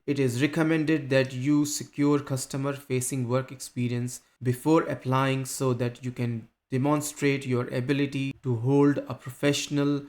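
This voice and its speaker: A man hosting a channel, reading a careers web page aloud and adding casual asides to his viewers. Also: medium pitch. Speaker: channel host.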